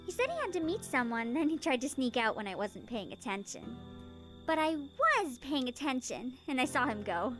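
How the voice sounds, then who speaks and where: A high-pitched, spirited little sister from a video game. She talks casually and expressively, with a sassy, teasing edge. Faint music plays behind her. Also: sing-song, normal speed, fairly bright tone. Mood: matter-of-fact.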